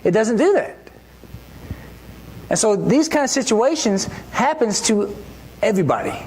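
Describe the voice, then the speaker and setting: A mid-pitched preacher talks through a church sermon as if chatting with friends. The delivery keeps turning to the congregation with rhetorical questions. A faint hiss sits under the voice. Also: big pitch swings, measured pace, a little mellow, rough recording. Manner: conversational, full of rhetorical questions.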